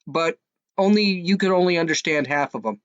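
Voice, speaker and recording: A podcast host, a man with a fairly high voice, rants in a casual, scoffing way, tripping over restarts as he mocks what he has just played. He is recorded close and dry, with no room sound at all. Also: normal speed, a bit bright, smooth, no echo, highs cut off. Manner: mocking, scoffing, rambling with restarts. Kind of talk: casual opinionated rant.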